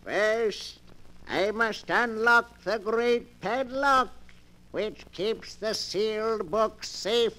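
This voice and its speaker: Slow, high-voiced sinister keeper of tales. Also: male voice, sharp tone, full character voice. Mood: gloating, ominous. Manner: drawn-out, theatrical, gloating delivery with cackles. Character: sinister old keeper of a book of dark tales. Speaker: storyteller host. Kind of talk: 1940s radio horror acting. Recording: noisy 1940s radio recording.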